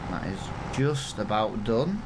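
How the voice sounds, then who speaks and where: A man's voice: fairly low pitch, sing-song, slow, heavy background noise. An English man in his thirties, rambling and self-mocking as he gives casual commentary over a farming game. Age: 30s. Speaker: gaming channel host. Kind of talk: casual let's-play commentary.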